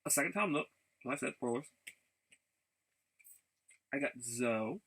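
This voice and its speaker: A man chatting casually in a rambling vlog, haltingly, often repeating and restarting his phrases. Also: medium pitch, animated, fairly bright tone. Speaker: vlogger.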